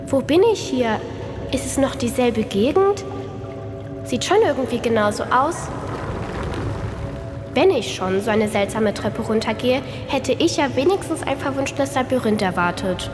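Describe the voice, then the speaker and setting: A plucky, curious young girl in a children's radio play. Her voice is very high and cheeky. It rings with long reverb, with music playing behind. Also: expressive, unhurried. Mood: curious, startled. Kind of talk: children's audio drama acting.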